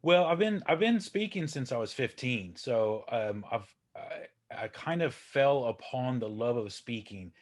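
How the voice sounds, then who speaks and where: A man's voice: medium pitch, lively intonation, measured pace. A man, a podcast guest and coach talking casually, chatty and self-deprecating with many fillers and restarts. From Texas, USA. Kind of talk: casual interview talk.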